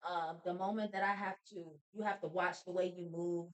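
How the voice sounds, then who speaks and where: A woman's voice: fairly low pitch, steady tone. A woman speaking as a guest in a casual faith talk, confident and emphatic. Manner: confident, emphatic, fast-talking.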